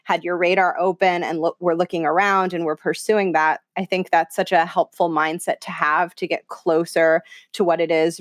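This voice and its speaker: A woman's voice: fairly low pitch, no room sound, studio quality. A woman hosting a career podcast, speaking in long, encouraging sentences. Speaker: podcast host. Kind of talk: conversational interview.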